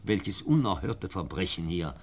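An actor playing an earnest, idealistic schoolteacher with a fervent, formal manner in a German radio drama. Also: male voice, deep voice, very animated, measured pace, heavily acted.

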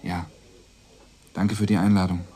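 A man's voice: low voice, level tone, measured pace, dark tone, slightly rough. A male actor playing a young policeman on night duty in a radio play, weary and placating with a trying relative. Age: young adult. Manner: placating, exasperated.

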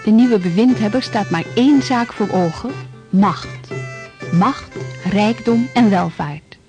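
Female documentary-style drama narrator, mid pitch, scripted, measured, rhetorical, music underneath. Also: lightly acted. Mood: stately. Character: formal historical narrator of a radio play.

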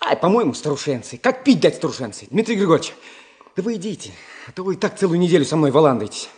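An actor playing an eager, artless young factory worker in a Soviet radio drama, chattering in slangy speech and repeating himself. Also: male voice, fairly high pitch, very animated, quick pace, darkish tone. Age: young adult. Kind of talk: naturalistic 1970s Soviet radio drama acting.

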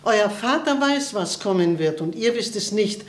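Actress as blunt old aunt, scolding, roomy radio studio. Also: female voice, mid-range voice, animated, normal speed, a little hiss or hum, natural voice. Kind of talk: radio play acting.

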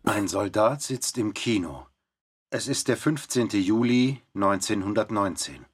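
A man's voice: on the low side, expressive, measured pace. A man speaking scripted commentary in a documentary radio play. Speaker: narrator and commentator.

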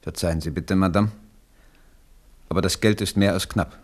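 An actor playing a deferential but blunt royal finance minister in a radio drama, speaking formally and courteously. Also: male voice, low voice, steady tone, moderate pace. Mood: apologetic, restrained. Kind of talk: 1970s German radio drama acting.

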